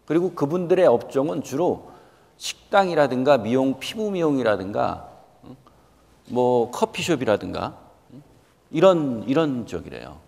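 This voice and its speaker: Animated man in his fifties, reverberant hall. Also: mid-range voice, measured pace, vocal fry. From South Korea. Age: 50s. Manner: emphatic, questioning the listeners directly, repeating key points. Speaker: guest lecturer, company executive. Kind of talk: motivational lecture to students.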